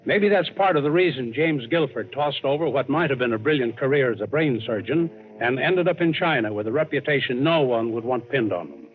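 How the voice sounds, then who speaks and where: A man's voice: mid-range voice, expressive, brisk, close to an everyday voice. Actor as male yarn-spinning adventurer, vintage radio. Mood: storytelling. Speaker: narrator and host. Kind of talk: scripted old-time radio narration. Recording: tinny old radio recording. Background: dramatic underscore music.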